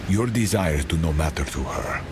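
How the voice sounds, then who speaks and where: An actor playing a weary, haunted inventor in a fantasy game, speaking in a grave, measured way. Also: male voice, very deep voice, animated, background noise. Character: weary, haunted older male inventor serving a cruel queen. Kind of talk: scripted fantasy game dialogue.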